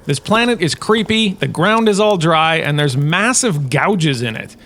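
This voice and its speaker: Casting: male actor as chatty, pompous galactic professor for comic web series, slightly high voice, wry and easily affronted. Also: animated, unhurried, a bit bright. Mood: indignant, grumbling. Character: pompous, touchy, chatty male sci-fi university lecturer on famous bounty hunters. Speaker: host, lecturer. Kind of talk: scripted comic in-character lecture.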